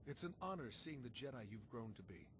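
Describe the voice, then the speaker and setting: Gentle male leader, expressive pitch, acted. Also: fairly quick, lightly acted. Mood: gentle, sincere. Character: calm, fatherly male Jedi leader, gentle and sincere. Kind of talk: video game voice acting. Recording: noisy game audio capture.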